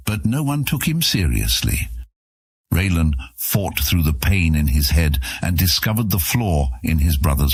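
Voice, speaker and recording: A man narrating a fantasy audio drama in an even, measured storytelling manner with a husky voice. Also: a bass voice.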